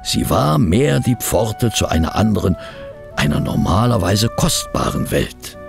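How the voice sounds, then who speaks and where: A man playing a genteel, curious gentleman companion and narrator in a German radio play, expressive and articulate. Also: on the low side, a little slow, vocal fry, over music, full character voice. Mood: measured, descriptive.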